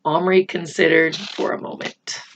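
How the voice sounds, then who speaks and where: A woman narrates a children's story at a slow pace. Her reading is expressive and unhurried, and the recording is slightly muffled. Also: low-pitched, a little hiss or hum. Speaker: storybook reader. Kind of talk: scripted children's book narration.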